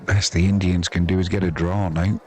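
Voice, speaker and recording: A man's voice: deep voice, flat delivery, brisk, darkish tone, a faint crowd. A man with an English accent giving chatty, wry, self-deprecating amateur live commentary on cricket. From England.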